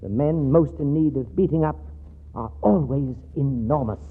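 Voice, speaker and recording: Prim young millionaire played by an actor, tinny radio. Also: male voice, mid-range voice, moderate pace, loud music behind the voice, close to an everyday voice. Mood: indignant. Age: young adult. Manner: formal, pompous, hesitant, precise. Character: prim, earnest, stuffy young millionaire suitor. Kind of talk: 1940s radio comedy acting. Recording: tinny, noisy 1940s radio broadcast.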